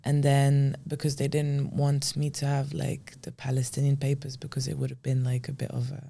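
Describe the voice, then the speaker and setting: A woman's voice: very deep voice, completely flat delivery, normal speed, creaky, warm tone. A young woman from France, chatting casually as a guest in a podcast interview.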